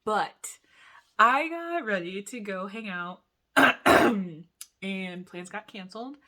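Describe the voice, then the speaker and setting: A man's voice: high voice, animated, takes it slowly, sharp tone. A young man vlogging in a casual, rambling way, full of asides and giggles. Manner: chatty, giggly, talks in loose asides. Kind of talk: casual rambling chat to camera.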